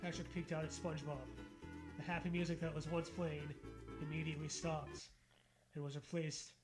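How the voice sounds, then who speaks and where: Man, high voice, comic story reading, sarcastic casual banter, profane, music behind. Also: level tone, moderate pace. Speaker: co-host reading fan fiction aloud.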